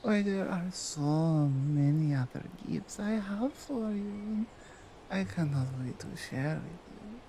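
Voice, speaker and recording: A woman voicing a dominant yet tender female Krampus in an ASMR roleplay, with a Nordic accent and halting, deliberate broken English. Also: medium pitch, animated, mellow, a little hiss or hum. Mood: teasing, doting. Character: dominant, tender, seductive female Krampus, otherworldly gift-bringer.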